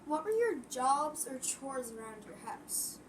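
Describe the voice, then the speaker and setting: A woman's voice: high-pitched, big pitch swings, unhurried, noisy background. A young woman interviewing an elder for a school project, tentative and often restarting her questions. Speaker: student interviewer.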